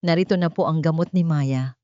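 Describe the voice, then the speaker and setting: A woman voicing a humble, frightened housemaid in an audio drama, pleading respectfully in a hoarse voice. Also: low-pitched, a little fast, mellow, natural voice. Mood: fearful pleading. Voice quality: hoarse whisper. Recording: dull lo-fi audio with room echo.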